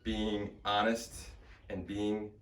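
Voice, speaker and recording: A man's voice: fairly low pitch, slow, roomy sound, recorded from a distance, a little hiss or hum, poor audio. Casting note: rambling young man hosting a podcast. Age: 20s. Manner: energetic, rambling, swears casually. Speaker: podcast host. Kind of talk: casual podcast chat. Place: small home studio.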